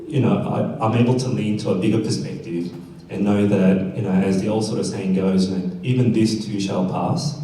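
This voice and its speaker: A man reflecting on his life in conversational, measured speech as a panelist. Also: on the low side, steady tone, warm tone. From South Korea, then Australia. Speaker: panelist at a community fireside chat. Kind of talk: reflective off-the-cuff personal storytelling. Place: event stage in an echoey indoor room. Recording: echoey room, distant mic, faint noise.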